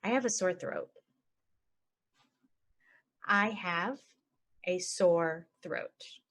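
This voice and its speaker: A woman teaching English phrases, saying each one and then repeating it slowly and clearly for learners. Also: on the low side. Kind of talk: slow phrase-by-phrase language lesson.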